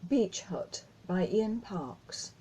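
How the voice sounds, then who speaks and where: Slow, husky woman reading poetry. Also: medium pitch, expressive, dark tone, poor audio. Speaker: poetry reader. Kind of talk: reading a poem aloud.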